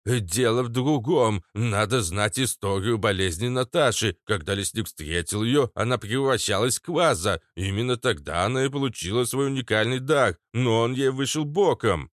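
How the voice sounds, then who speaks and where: Мужчина играет скрипучего старого лекаря. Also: male voice, medium pitch, moderate pace, hardly any pauses, a bit bright, no echo, studio quality, pitched above the performer's own voice, close to an everyday voice. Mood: explaining. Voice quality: creaky, scratchy. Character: old male healer, grumbling know-it-all explainer.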